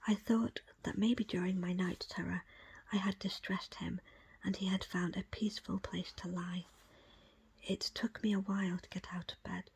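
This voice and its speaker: Breathy whispering woman reading a book. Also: on the low side, level tone, normal speed, a little mellow. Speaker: audiobook reader.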